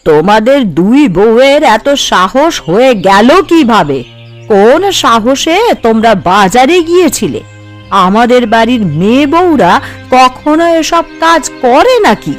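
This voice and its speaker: A woman's voice: fairly high pitch, animated, measured pace, faint music behind. A woman voicing an elderly village mother-in-law in a cartoon, speaking emphatically and dramatically. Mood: scolding. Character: stern, then remorseful elderly mother-in-law in a village family. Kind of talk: cartoon voice acting.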